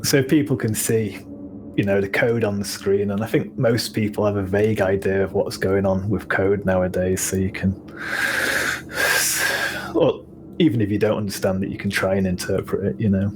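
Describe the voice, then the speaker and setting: A man with a Manchester accent, a guest musician in a casual podcast chat, talking in a low-key, rambling way with many ums. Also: fairly even intonation, normal speed, over music. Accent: Northern English (Manchester). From England. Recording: audible background noise.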